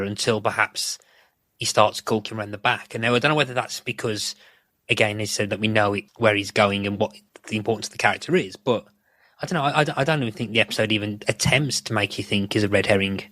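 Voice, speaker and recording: Bright, lower-voiced man analysing on a podcast. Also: no room sound. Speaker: podcast host. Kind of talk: casual analytical discussion.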